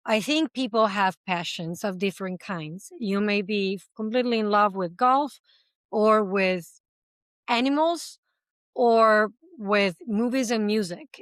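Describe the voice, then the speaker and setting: A passionate woman guest talks freely in an interview, moving from point to point with everyday examples. Her voice sits at a middling pitch. The recording is dry and close, with no room sound. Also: clear, resonant tone, dry recording. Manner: speaks several languages. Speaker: language school founder, interview guest. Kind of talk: conversational interview answers.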